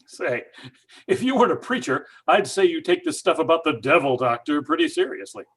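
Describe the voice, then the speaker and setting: Husky-voiced skeptical dad played by a man, brash and dismissive, for an old-time radio play. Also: a little high, very animated, brisk, a bit bright, exaggerated voice. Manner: brash, dismissive, stammering fillers. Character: blustering skeptical father, middle-aged house owner.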